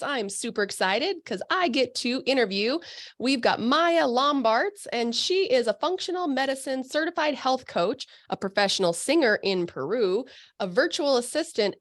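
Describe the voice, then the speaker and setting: A woman's voice: sits high, fairly bright tone, no room sound, clean studio sound. A woman hosting a podcast, talking in a bubbly, effusive way, full of exclamations. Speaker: podcast host. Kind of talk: casual interview chat. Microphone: video call microphone.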